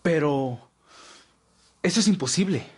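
A man's voice: on the high side, animated, a little slow, slightly rough, exaggerated voice. A man playing a principled, distraught vampire in an audio roleplay, pleading and indignant in manner. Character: distraught, principled male vampire challenging his leader. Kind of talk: ASMR audio roleplay acting.

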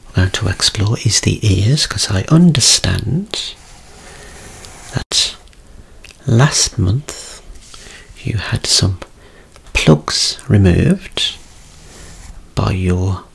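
A man in his thirties doing a soft-spoken ASMR medical roleplay as a doctor, with a reassuring clinical bedside manner, close up in a small room. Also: lowish voice, vocal fry, slightly rough. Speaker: ASMR creator acting as a doctor.

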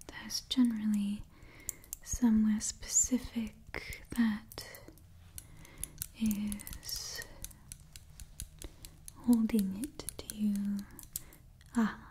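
Whispering young woman, slow ASMR roleplay. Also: medium pitch. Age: 20s. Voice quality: breathy whisper. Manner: soft, repeats phrases slowly and soothingly. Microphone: close-up ASMR microphone.

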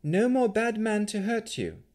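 A man's voice: high-pitched, huge pitch swings, unhurried, darkish tone, voice pushed up, exaggerated voice. A man voicing an earnest, confident adventuring woman who speaks directly and reassuringly. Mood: gently confident.

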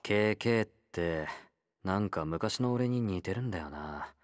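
A man's voice: low voice, lively intonation, a little slow, a little hiss or hum. A male actor playing a laid-back young convict fighter in a game, blunt, teasing and nonchalant, with a drawl. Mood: casual, wry. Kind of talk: Japanese game character voice lines.

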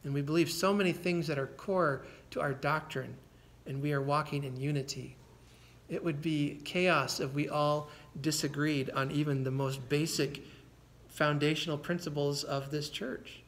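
A man with a slightly higher voice than most preaches to his congregation. He talks in an earnest, conversational way, often repeating words as he goes and letting out soft laughs. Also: steady tone, moderate pace. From United States. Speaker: pastor. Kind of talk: sermon.

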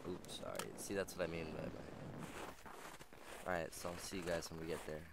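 Young male gaming host, low and slightly raspy, rambling casual delivery, static-laden home mic. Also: level tone, normal speed. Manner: rambling, filler-heavy, chatty. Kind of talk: casual let's play commentary. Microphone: old, static-prone headset mic.

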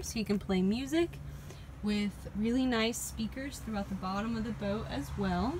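A woman with a fairly high voice walks through a boat, pitching its features in an upbeat, chatty sales tone. She is recorded outdoors on a handheld phone, with steady outdoor noise clearly audible behind her. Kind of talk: walkaround product tour. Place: outdoors on a boat in a dealer lot. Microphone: phone camera held while walking.

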